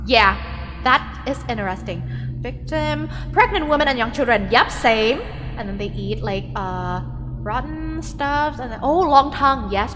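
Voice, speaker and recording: A woman's voice: a little high, long reverb tail. Chatty Thai-accented woman streamer. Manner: chatty, filler-heavy, thinks aloud with frequent "like". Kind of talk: casual chat reading viewer messages.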